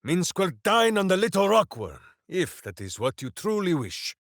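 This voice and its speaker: An actor voicing a big, simple-minded, hearty berserker hero in a fantasy video game, declaiming with bombastic earnestness. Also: male voice, sits high, big pitch swings, normal speed, fairly bright tone, dry recording, studio quality, heavily acted. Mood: earnest, boastful. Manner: bombastic, earnest, grandly declaiming, speaks of himself by name. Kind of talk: theatrical fantasy video game character acting.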